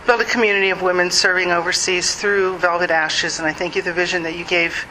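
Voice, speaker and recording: A woman's voice: on the low side, pushed and bright, a little hiss or hum, low-quality recording. A woman leading a hesitant spoken prayer before a session. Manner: hesitant, with fillers. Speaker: prayer leader introducing the speaker.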